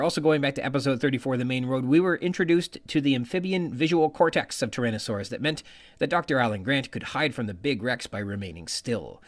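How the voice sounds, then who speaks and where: A man hosting a podcast, explaining research in a semi-scripted way, reading out technical terms and quotations with informal asides. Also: mid-range voice. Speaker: podcast host.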